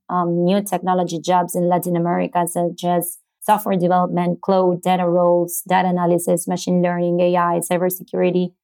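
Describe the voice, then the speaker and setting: Argentine woman, even low-pitched voice, halting delivery. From Buenos Aires, Argentina. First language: Spanish. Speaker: interview guest, startup co-founder. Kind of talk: explanatory interview answers.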